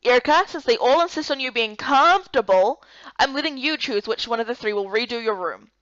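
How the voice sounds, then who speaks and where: A woman voicing a stern, irritated woman leader in a visual novel game, speaking with sharp, emphatic authority. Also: a little high, lively intonation, fairly quick, no echo, muffled recording. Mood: commanding, impatient. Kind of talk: visual novel character voice-over.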